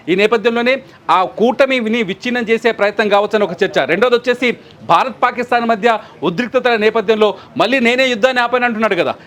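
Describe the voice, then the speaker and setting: A man speaks as a news analyst in a high voice. He talks quickly, explaining the situation and repeating points for emphasis. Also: a bit bright. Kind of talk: live news analysis and commentary.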